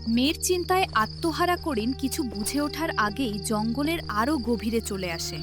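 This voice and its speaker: A woman's voice: fairly high pitch, lively intonation, moderate pace. A woman narrator reading a scripted story in a dramatic storytelling style. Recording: loud music behind the voice.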